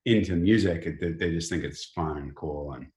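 Middle aged guy, real low voice, casual interview chat, kinda halting, roomy echo. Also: a little mellow. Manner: informal, halting, frequent self-rephrasing. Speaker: company chief executive, podcast guest.

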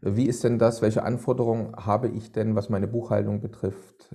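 A man's voice: on the low side, flat delivery, moderate pace, dark tone, husky. A man hosting a podcast, putting long, winding questions to his guest with many fillers. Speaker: podcast host.

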